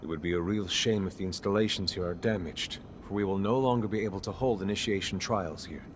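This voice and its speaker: An actor playing a grave, world-weary, middle-aged master of a secret order in a video game, speaking in a measured, instructive way. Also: male voice, low voice, background noise, close to an everyday voice. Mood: grave, instructing.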